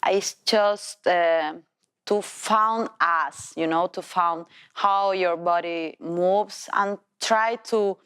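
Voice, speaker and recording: A woman in her thirties with an Argentine accent, a Spanish speaker telling her story as a tango teacher in halting English with many restarts. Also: fairly low pitch, steady tone, a bit bright, clean tone. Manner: non-native English, halting restarts, laughs while telling. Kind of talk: personal storytelling.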